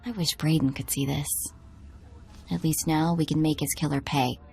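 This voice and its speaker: A young, spirited sidekick trades quick, wry remarks in a game scene. Her voice sits slightly low and lively. Soft music hums behind. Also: vocal fry, slightly rough, heavily acted. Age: young adult.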